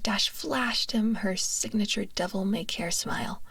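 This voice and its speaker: A woman narrates fiction in an ASMR style. Her whisper is very breathy and often creaky. She is recorded close on a binaural mic with a dry sound. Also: mid-range voice, unhurried, a bit bright, dry recording. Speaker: ASMR streamer reading fan fiction. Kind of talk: whispered ASMR story reading. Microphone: close binaural microphone.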